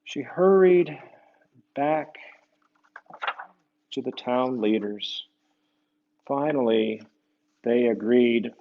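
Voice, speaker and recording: A man reading a picture book to children, gently explaining it and often tagging questions onto his remarks. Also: mid-range voice, muffled recording. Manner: gentle, explanatory, asks the listeners tag questions. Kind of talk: storybook read-aloud with conversational asides.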